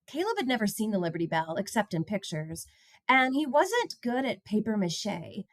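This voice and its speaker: A woman reading a children's picture book aloud in an expressive storytelling style. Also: medium pitch, moderate pace, no room sound, clean studio sound.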